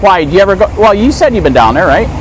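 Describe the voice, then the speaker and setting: A man in casual street conversation, animated and questioning, quick to agree with the other person. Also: sits high. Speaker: activist filming a street conversation. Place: outdoors on a street near traffic. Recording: loud street and traffic noise.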